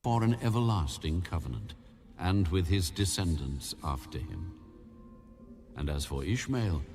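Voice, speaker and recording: An actor playing God as a solemn, commanding, weighty voice in a dramatized audio Bible. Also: male voice, low-pitched, darkish tone, exaggerated voice. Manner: slow, weighty, declamatory.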